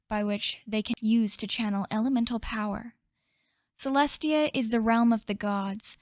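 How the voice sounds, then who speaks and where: A woman playing a solemn storyteller, narrating a fantasy game legend in a measured way. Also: fairly high pitch, a little mellow, no echo, clean studio sound, close to an everyday voice.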